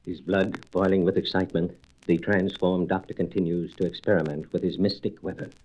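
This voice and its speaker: A man's voice: deep voice, steady tone, a little fast, darkish tone, dull audio. A man narrating a scripted comic book story in dramatic style. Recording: old record with faint noise, clicks.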